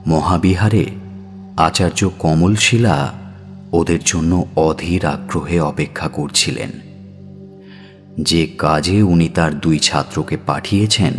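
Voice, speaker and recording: A man narrating a scripted audio story in a measured, dramatic style. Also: very deep voice. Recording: music bed under narration.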